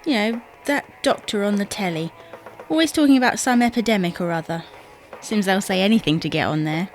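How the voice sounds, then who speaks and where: A woman's voice: medium pitch, fairly quick, faint music behind, lightly acted. A British woman playing an exasperated, matter-of-fact wife bickering over household matters in an audio sitcom. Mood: nagging. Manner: nagging, matter-of-fact, tag questions. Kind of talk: audio sitcom acting, domestic dialogue.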